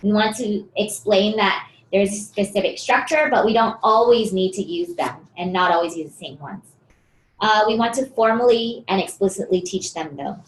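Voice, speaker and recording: A woman presenting a teaching webinar, explaining activities steadily and often pausing on fillers. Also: medium pitch, echoey room, low-quality recording.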